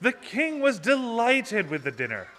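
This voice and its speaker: A man theatrically narrating a storybook puppet show for children, with emphatic, deliberate delivery. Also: very high-pitched, wildly swooping pitch, fairly bright tone. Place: social hall, live before an audience. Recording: echoey social hall with noise.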